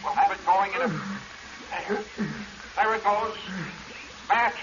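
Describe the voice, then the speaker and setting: A man's voice: high-pitched, flat delivery, slow. A male actor playing an anxious, nervy partner minding a diver's air pump in a 1940s radio drama. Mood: frantic, urgent. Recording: muffled, noisy vintage radio recording.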